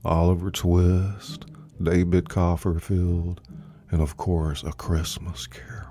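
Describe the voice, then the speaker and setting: Very deep, slow, dark-voiced man. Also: level tone. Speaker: host and narrator of a live reading. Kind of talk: dramatized live audiobook narration.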